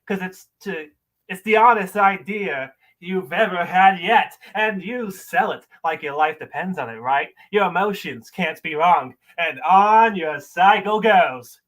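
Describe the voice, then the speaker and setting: A man's voice: sits high, a little slow, a bit bright, pitched above the performer's own voice. A man performing a dramatic monologue as a bitter man berating someone for wasted dreams, accusing and emphatic. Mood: scornful.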